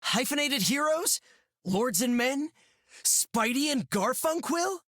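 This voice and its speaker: A man's voice: very high voice, animated, slow pace, fairly bright tone, no room sound, studio quality, heavily acted. An actor playing a joking young male web-slinging hero with a playful, quippy manner in a video game.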